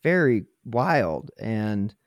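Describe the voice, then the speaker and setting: A man's voice: fairly low pitch, big pitch swings, very slow, dry recording. A man hosting a podcast in a casual true-crime interview style, speaking emphatically with a streak of dry sarcasm. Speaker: podcast host.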